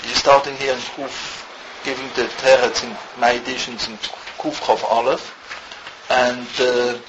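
A man from Switzerland teaching a Torah lesson in halting non-native English, quickly reading out Hebrew text and then translating it. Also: medium pitch, flat delivery. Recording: muffled with loud background hiss.

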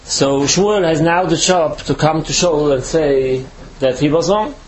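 Rabbi from Switzerland, halting English. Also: male voice, fairly high pitch, a little hiss or hum, low-quality recording. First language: not English. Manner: halting non-native English, slow careful explanation. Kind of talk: Torah lecture.

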